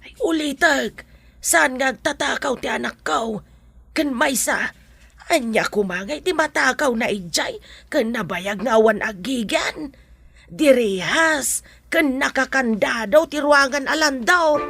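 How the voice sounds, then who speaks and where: A woman's voice: high-pitched, lively intonation, a little slow, vocal fry, fairly bright tone, husky, full character voice. A woman with an Ilocano accent playing a protective, worried mother of a grown child in a radio drama. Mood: defensive.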